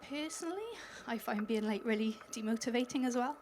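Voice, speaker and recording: A woman's voice: a little high, brisk, a little mellow, a little hiss or hum. A Welsh woman speaking at a conference, chatty and upbeat, laughing lightly between points. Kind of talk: conference talk. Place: conference hall stage.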